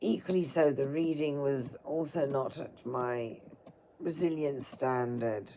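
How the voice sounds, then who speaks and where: A tired woman with a hoarse, dry throat, speaking to listeners in a halting, politely formal way as a storyteller. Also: a bass voice, mellow, a little hiss or hum, poor audio. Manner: halting, apologetic, formal wording. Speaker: storyteller reading aloud and singing. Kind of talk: informal apologetic talk to listeners.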